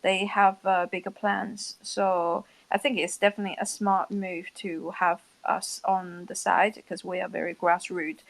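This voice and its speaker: A woman co-hosting a casual online audio discussion, explaining in a measured way and pausing to find words. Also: on the low side, little pitch movement, vocal fry, poor audio. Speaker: co-host. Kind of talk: casual unscripted group discussion. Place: live online audio chat room.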